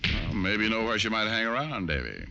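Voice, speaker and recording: An actor playing a wry, wisecracking private detective who also narrates, in old radio drama style. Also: male voice, fairly low pitch, very animated, brisk, gravelly, sharp tone, lo-fi sound, heavily acted. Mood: probing. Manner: wisecracking, sardonic. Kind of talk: 1940s-50s radio detective acting and narration. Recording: old radio broadcast with music.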